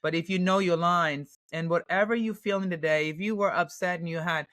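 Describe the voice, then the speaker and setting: A woman with a German accent talks through an interview answer in an easy, chatty way. She pauses with fillers and restarts her sentences often. The recording is dry and close, with no room sound. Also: fairly low pitch, fairly bright tone, dry recording. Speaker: actress, podcast guest.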